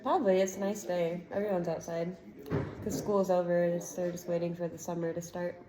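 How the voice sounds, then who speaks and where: A woman reading a chapter book aloud to a child, with expressive narration and relaxed chatty asides. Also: on the low side, brisk, noisy background. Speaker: storybook reader.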